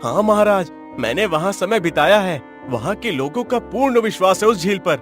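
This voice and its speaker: Man acting a humble, high-pitched wandering flute player. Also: animated, normal speed, faint music behind. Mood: humble, respectful. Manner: deferential, formal. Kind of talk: Hindi cartoon story dialogue.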